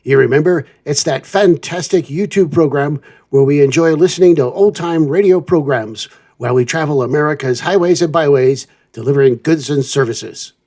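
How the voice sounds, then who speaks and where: A man with a somewhat high voice hosts a scripted road show. He addresses his listeners like a theatrical old-style announcer. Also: moderate pace, a little mellow. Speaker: host of a trucking show playing old-time radio. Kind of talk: semi-scripted show presenting.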